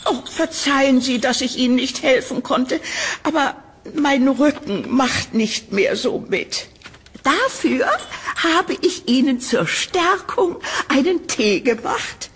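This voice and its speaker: A woman playing a sweet, frail elderly landlady in a horror audio drama, fussy and cooing, with a husky voice. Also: high voice, takes it slowly, full character voice. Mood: sweetly fussy.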